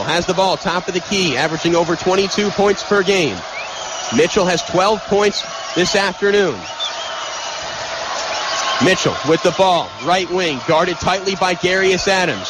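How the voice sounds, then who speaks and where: High voiced guy doing radio hoops play by play, punchy and excitable, loud arena noise behind him. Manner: excitable, punchy, clipped phrases. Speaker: play-by-play sports announcer. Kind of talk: live radio basketball play-by-play. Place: courtside in an indoor arena. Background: loud arena crowd noise.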